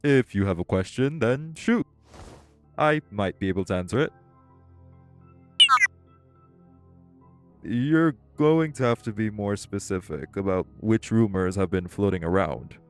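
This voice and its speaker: A man playing a composed, self-assured tech company boss in an audio roleplay, speaking in a polished, measured, articulate way. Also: lowish voice, darkish tone, faint music behind, natural voice. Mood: teasing, curious. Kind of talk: audio roleplay acting, one-sided dialogue.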